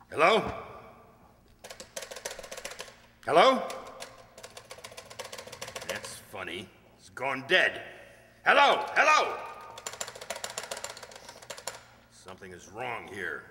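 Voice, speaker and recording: A man playing an urgent, exasperated government security chief with a brisk, commanding manner in a comic radio play. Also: medium pitch, pushed and bright, a little hiss or hum. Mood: agitated. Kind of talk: comic science-fiction radio play acting. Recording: echoey hall with long reverb.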